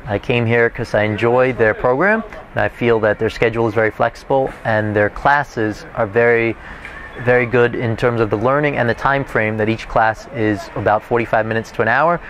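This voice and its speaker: A man's voice: medium pitch, steady tone, moderate pace, a bit bright, muffled recording, slight background noise. A man giving an informal testimonial as a student. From Florida, USA.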